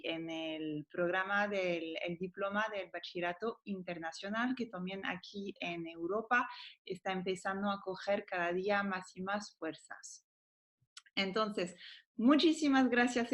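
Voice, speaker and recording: A woman hosting an interview, asking questions in a measured, encouraging way. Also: medium pitch, a bit bright. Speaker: interviewer, language teacher.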